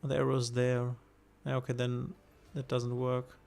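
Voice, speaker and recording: A man's voice: mid-range voice, completely flat delivery, measured pace, vocal fry, darkish tone. A man from Germany with a German accent, casually commenting on his card game while streaming and thinking aloud with hesitations.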